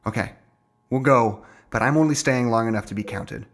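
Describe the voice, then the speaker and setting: A man's voice: mid-range voice, normal speed, background noise, close to an everyday voice. An actor playing a nervous, wary man in an audio drama, with a hesitant but urgent manner. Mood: wary, tense. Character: nervous, wary male colleague in a troubled facility. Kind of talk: naturalistic audio drama dialogue.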